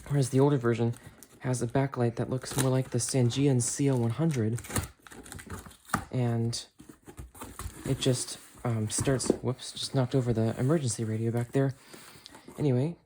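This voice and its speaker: A man's voice: medium pitch, fairly even intonation, measured pace, pauses often. A young adult man giving casual unboxing commentary as he handles the item at home. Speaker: unboxing video maker. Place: small carpeted home room with computer desk. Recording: clear background noise, crinkling and clicks. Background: noise, crinkling packaging, clicks.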